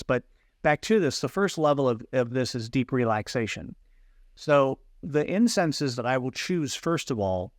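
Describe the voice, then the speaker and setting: A man speaks in an earnest, teacherly way, often restarting his phrases. His voice sits in a middle pitch. The sound is close and dry, with no room around it. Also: a little mellow, dry recording. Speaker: guest, fragrance hypnosis coach. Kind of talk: explanatory interview talk. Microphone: video call.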